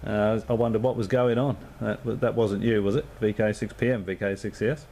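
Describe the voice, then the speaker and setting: An Australian man talks over a ham radio in a relaxed, rambling way, leaning on fillers and closing each turn with call signs. His voice sits fairly low. A faint hiss sits under him. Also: fairly quick, vocal fry. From Perth, Western Australia. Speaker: amateur radio operator. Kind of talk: casual radio chat. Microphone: radio transceiver microphone.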